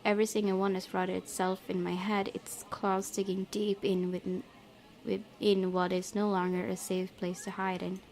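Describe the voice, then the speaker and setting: A woman reading visual novel text aloud as a game streamer, with expressive, chatty asides. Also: mid-range voice, moderate pace. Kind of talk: let's play, reading game text aloud with casual commentary. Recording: game music behind voice. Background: game music.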